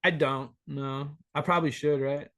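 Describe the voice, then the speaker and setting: A man in his thirties joining a podcast over a remote call as a guest, talking in an animated, rambling way and swearing freely. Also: a little high, normal speed, slight background noise. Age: 30s. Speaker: band member guest. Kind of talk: casual interview chat.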